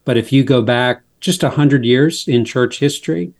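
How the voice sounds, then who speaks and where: A man who is a guest author, explaining his faith in a measured, steady interview manner. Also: mid-range voice.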